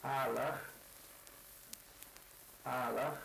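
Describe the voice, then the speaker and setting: An older man with a bright, forceful voice works through vocabulary with a language speaker. He repeats each word back slowly, checking the sound, and asks simple questions about how to say things. A faint hiss sits under the old recording. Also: mid-range voice, fairly even intonation, vocal fry, low-quality recording. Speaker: interviewer learning native words. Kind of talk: word elicitation session.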